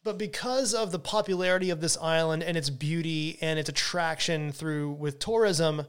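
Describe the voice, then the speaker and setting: A man's voice: on the high side, clear, resonant tone. A man hosting a podcast, talking casually and enthusiastically about wine, often restarting his sentences. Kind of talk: casual educational monologue.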